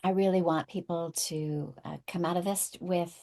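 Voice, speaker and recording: A woman's voice: fairly low pitch, fairly even intonation, normal speed, mellow. A woman talking casually as a producer guest in an interview. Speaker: podcast guest, table read producer. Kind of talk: casual chat.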